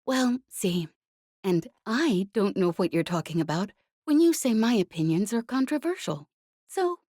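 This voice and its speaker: A woman reader plays a brash young woman pilot from a space adventure audiobook. She is cocky and sassy, clipping her colloquial phrases, in a mellow voice recorded very dry. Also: medium pitch, sing-song, a little slow, no room sound, pitched above the performer's own voice. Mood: brash, challenging. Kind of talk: audiobook character voice.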